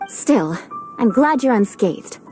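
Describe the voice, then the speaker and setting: A woman's voice: fairly high pitch, animated, slow, darkish tone, faint music behind. A woman voicing a proud, haughty young noblewoman knight in a video game, speaking formally and theatrically. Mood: cool, grudging.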